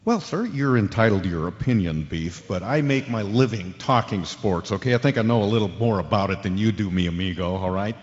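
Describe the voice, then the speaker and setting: A man's voice: on the low side, animated, brisk, hardly any pauses, natural voice. Man playing cocky American sports radio host, smug, sarcastic, showy, impatient, long reverb, muffled audio. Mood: smug, dismissive. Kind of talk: radio drama as live sports talk show.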